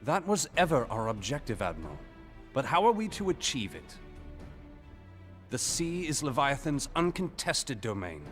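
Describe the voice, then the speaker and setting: Game role: skeptical male fantasy scout, lightly raised register, emphatic formal speech, music behind. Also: a little high. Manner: formal archaic phrasing, emphatic. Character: earnest, skeptical male fantasy adventurer reporting to a superior. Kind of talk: scripted video game voice acting.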